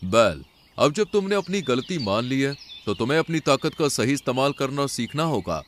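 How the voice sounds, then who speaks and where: Cartoon lion, played by a man: authoritative jungle ruler, stern and wise, mid-pitched, expressive. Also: moderate pace, slight background noise, lightly acted. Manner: authoritative, admonishing. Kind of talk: Hindi animal fable cartoon dialogue.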